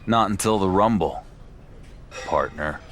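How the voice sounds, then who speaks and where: An actor playing a proud, sarcastic wrestling champion with a dry manner in a video game cutscene. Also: male voice, low-pitched, a little slow, fairly bright tone, a little hiss or hum. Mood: defiant. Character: wry, proud male pro wrestling champion.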